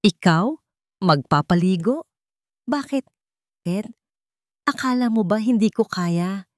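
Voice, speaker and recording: A woman's voice: mid-range voice, animated, slow pace, no echo, clean studio sound, voice pushed up. Shy, guarded young Filipina wife voiced by a woman. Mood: surprised.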